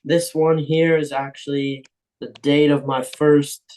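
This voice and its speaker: A young man, a professional footballer, answering interview questions in a relaxed, casual way with frequent ums. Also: fairly high pitch, unhurried, a little hiss or hum.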